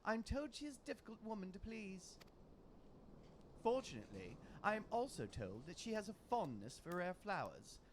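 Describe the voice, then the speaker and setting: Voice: mid-range voice, sing-song, normal speed, a little hiss or hum, voice pushed up. A man voicing a prim, eloquent female reporter from a video game, with wordy, precise diction. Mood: self-assured. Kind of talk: game dialogue read-aloud.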